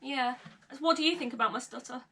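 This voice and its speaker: A woman's voice: high voice, fairly quick, sharp tone, slight background noise. A young woman vlogging candidly from her bedroom, with an occasional stutter and nervous laughs. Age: young adult. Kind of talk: personal confessional vlog. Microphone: camera mic at a short distance. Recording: slightly distant camera mic.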